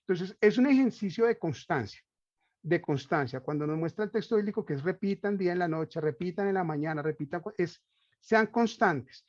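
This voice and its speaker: A man's voice: sits high, lively intonation, moderate pace. A man giving a reflective, didactic lecture as a panel speaker, asking rhetorical questions and repeating key phrases. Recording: roomy echo, dull lo-fi sound.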